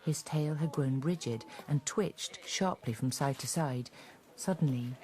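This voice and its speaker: A woman narrating a scripted literary radio drama in a measured storytelling manner. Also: low voice, a little mellow.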